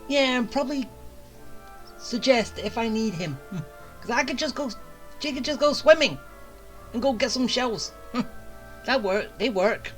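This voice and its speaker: A woman streaming a game with casual commentary, musing aloud in a halting way. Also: a little high, lively intonation, poor audio. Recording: game music behind the voice.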